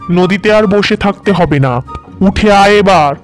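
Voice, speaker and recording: A man playing a simple, good-natured village salt merchant in a children's cartoon fairy tale, with drawn-out, plaintive exclamations. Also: high voice, soft background music. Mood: dismayed, scolding.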